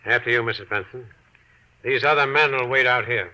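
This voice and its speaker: An actor playing a blunt, unyielding police sergeant in a 1940s radio drama, firm and businesslike. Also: male voice, mid-range voice, brisk, bright, forceful tone. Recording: muffled vintage 1940s radio recording.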